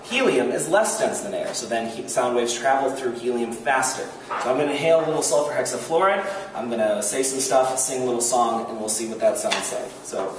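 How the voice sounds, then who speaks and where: A man's voice: mid-range voice, lively intonation, fairly quick, few pauses, a crowd in the background. Male science demonstrator, thirties, informal delivery to camera, distant camera audio with heavy hallway reverb. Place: hard-walled indoor hallway. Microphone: camera a few metres away. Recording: echoey hallway, distant camera mic, noisy.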